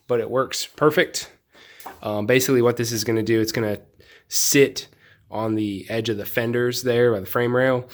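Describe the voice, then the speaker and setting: Man, young adult, stuffy cold voice, lowish pitch, rambling casual garage vlog, home garage. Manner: relaxed, rambling, lots of ums, offering tips. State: congested from a cold. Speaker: car hobbyist building his project car.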